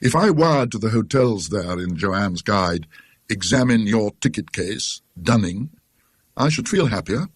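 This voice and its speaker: A British man voicing an anxious, polite, conscience-stricken gentleman in a ghost story. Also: lowish voice, lively intonation, a little slow, husky, dry recording, studio quality. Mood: uneasy.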